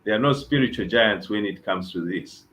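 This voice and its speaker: A man's voice: fairly low pitch, normal speed, fairly bright tone. A man, a bishop, giving earnest advice on a talk show and repeating his key phrases. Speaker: bishop guest.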